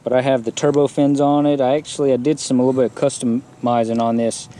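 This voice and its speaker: A man's voice: medium pitch, fast talker. A man casually walking through his fishing kayak setup outdoors in a yard, talking plainly with frequent fillers, recorded on an action camera. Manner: relaxed, plain-spoken, many fillers. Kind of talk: casual gear walkthrough.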